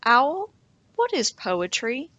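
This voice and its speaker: A woman's voice: high voice, huge pitch swings, takes it slowly, a bit bright, no room sound, highs cut off, pitched above the performer's own voice, exaggerated voice. A woman voicing a curious, polite young boy in a children's picture book, asking eagerly. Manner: questioning, eager.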